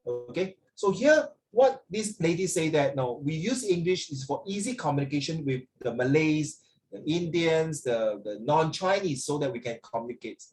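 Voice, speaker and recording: A man's voice: on the high side, lively intonation, moderate pace, a little mellow, a little hiss or hum. A man from Singapore with a Singaporean accent teaching children in an online lesson, often checking with "right" and "okay". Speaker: English teacher. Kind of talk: online lesson to children, explaining over a video. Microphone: video call.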